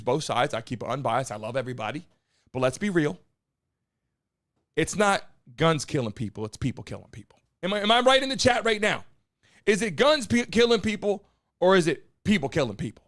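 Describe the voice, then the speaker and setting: Brief: high-pitched guy hosting a live stream, brash hype-man who keeps calling out to his chat. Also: sing-song, brisk, a bit bright. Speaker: live-stream show host. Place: home studio in Miami.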